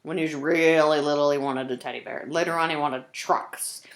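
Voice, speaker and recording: Woman as genial, low-pitched old Santa. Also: steady tone, moderate pace, reverberant, lightly acted. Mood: fond. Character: kindly jolly old Santa Claus, fondly reminiscing.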